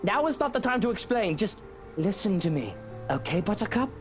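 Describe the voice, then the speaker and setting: A man's voice: sits high, sing-song, fairly quick, soft background music. A man acting an insistent, protective husband in a horror game, coaxing and exasperated. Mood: urgently coaxing. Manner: insistent, coaxing, stammers under strain.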